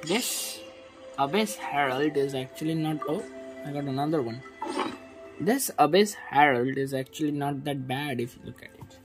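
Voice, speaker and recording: A woman's voice: sits low, expressive, normal speed, over music, rough recording. A woman giving casual gaming commentary, hesitant and thinking aloud. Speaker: gaming streamer.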